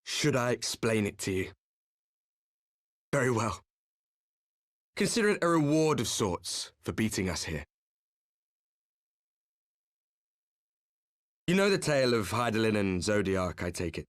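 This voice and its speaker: A man playing a grim, resolute fallen hero in a video game cutscene, speaking in a measured, solemn, theatrical way. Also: fairly low pitch, big pitch swings, no echo, clean studio sound. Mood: stern, weary. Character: grim male warrior, fallen hero from a doomed world, resolute.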